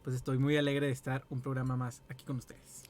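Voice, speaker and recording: A man's voice: medium pitch, completely flat delivery, a little fast, darkish tone. A man co-hosting a parish program, greeting viewers hesitantly with many fillers. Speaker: co-host. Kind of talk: casual chat.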